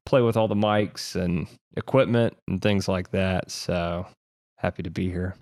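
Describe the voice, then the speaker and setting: A man's voice: low-pitched, fairly even intonation, unhurried, a little mellow, no echo, clean studio sound. A young man with a heavy Southern accent co-hosting a podcast, joking and easygoing. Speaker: podcast co-host. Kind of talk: casual podcast chat.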